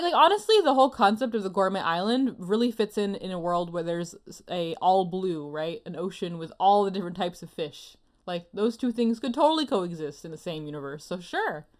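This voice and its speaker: Musing woman in casual chat. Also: medium pitch. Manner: musing, tentative, heavy use of "like". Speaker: panelist on an anime discussion show.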